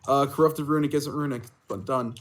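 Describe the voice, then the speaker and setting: A man's voice: medium pitch, fairly even intonation, fairly quick. A man chatting casually as a player on a role-play game server, talking over online voice chat.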